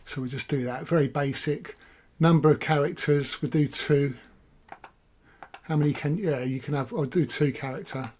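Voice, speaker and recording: A man talks casually through a software demo, his voice hoarse after long use. He rambles and corrects himself as he goes, thinking aloud while clicking. His voice sits a touch on the high side. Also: moderate pace. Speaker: software reviewer. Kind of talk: casual screen-recorded walkthrough.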